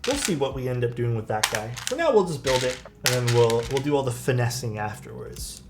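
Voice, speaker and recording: A man's voice: mid-range voice, a little fast, noisy background. A man with a soothing voice chatting casually on a live stream while he builds a keyboard. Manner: relaxed, chatty, reads viewer chat aloud and answers. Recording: clear background music under voice. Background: music playing and keyboard clacking.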